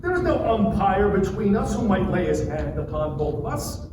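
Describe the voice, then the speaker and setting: A man playing an anguished, defiant man pleading against God, declaiming with drawn-out phrases in a dramatic scripture reading. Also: high voice, very animated. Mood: bitter despair. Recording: distant, very echoey, noisy live room.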